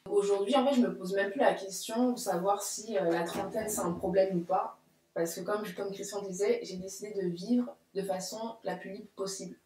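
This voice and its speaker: A woman's voice: mid-range voice, fairly even intonation, moderate pace, a little mellow. Reflective woman in her late twenties. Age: late 20s. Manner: reflective, talks in long runs. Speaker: guest. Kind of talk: casual discussion. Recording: roomy echo.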